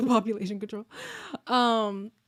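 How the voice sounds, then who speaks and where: Woman streamer, casual live chat host, chatty and animated, slightly worn, hoarse voice. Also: on the high side, slow. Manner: chatty, excitable, laughs often. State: losing her voice, a little hoarse. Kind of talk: casual livestream chat with viewers.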